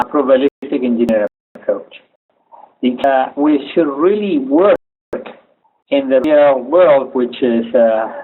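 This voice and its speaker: A man from Chile giving a webinar lecture, speaking haltingly with many "uh" fillers. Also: medium pitch, slight background noise. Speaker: engineering lecturer. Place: home office. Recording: tinny phone-like audio.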